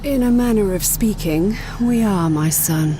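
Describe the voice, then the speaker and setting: A woman playing a scheming, power-hungry matriarch in a sci-fi audio drama, grand, measured and condescending. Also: mid-range voice, noisy background, close to an everyday voice. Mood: knowing, patronising. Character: scheming villainous matriarch of a power-hungry space-company family.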